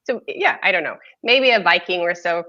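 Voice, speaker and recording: A woman's voice: on the low side, lively intonation, normal speed, bright, forceful tone, lo-fi sound. A woman from Sweden hosting an interview show, friendly and reflective. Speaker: podcast host. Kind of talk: conversational interview.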